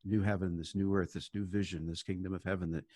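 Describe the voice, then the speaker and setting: A man co-hosting a podcast, thinking aloud in a relaxed, casual way. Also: deep voice, fast talker, no room sound, clean studio sound. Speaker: podcast co-host. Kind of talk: casual conversational talk.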